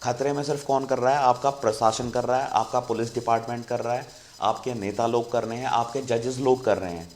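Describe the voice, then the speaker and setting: A man's voice: mid-range voice, fairly even intonation, fairly quick, slight background noise. Casual talking man, faint crickets behind. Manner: conversational, keeps saying "friend" to viewers. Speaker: YouTube channel host. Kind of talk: casual explainer monologue.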